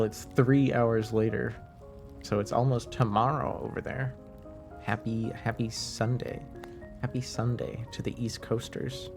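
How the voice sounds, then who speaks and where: A man whose first language is French, chatting casually to livestream viewers in a hesitant, halting way, sounding slightly under the weather. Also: mid-range voice, vocal fry. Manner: hesitant, halting, often trailing off. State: slightly under the weather, deeper than usual, clearing throat. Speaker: live streamer, host. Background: faint music.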